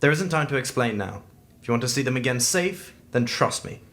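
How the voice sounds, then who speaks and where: A male actor playing a shrewd, commanding otherworldly investigator in an audio drama, measured and articulate. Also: medium pitch, animated, fairly bright tone, slight background noise, lightly acted. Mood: urgent.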